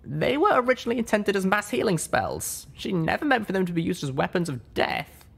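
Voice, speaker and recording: Guy putting on a nervous young unicorn girl, high and halting, storybook pony. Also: very animated, vocal fry, slightly rough, exaggerated voice. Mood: worried. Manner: hesitant, halting speech. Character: young female unicorn pony, anxious and earnest.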